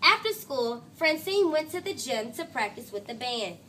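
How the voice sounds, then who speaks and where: Female third grade teacher, expressive read-aloud with questions, bright and lively, some classroom noise. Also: high voice, moderate pace. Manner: expressive, asking questions and echoing answers. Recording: noisy classroom ambience.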